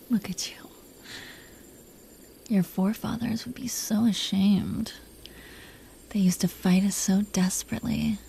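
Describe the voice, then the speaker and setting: A woman playing a possessive, proud but secretly tender dragon queen in an intimate roleplay audio drama, breathy and teasing. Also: medium pitch, steady tone, measured pace, long pauses, mellow, slight background noise, poor audio. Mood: seductive whisper. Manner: breathy, teasing, haughty, lingering delivery.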